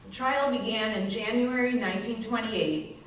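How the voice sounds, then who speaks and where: A woman's voice: fairly high pitch, moderate pace, lightly acted. A woman playing a crippled housewife in her twenties, recounting her ordeal in a readers theater monologue. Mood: weary, somber. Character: crippled twenty-six-year-old housewife giving testimony. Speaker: community theatre actor. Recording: very echoey room, distant mic, faint noise.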